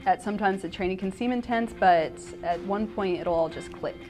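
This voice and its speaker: A woman's voice: medium pitch, brisk, darkish tone. A woman working as a police dispatcher, speaking sincerely in a documentary interview. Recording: music bed under the voice. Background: background music.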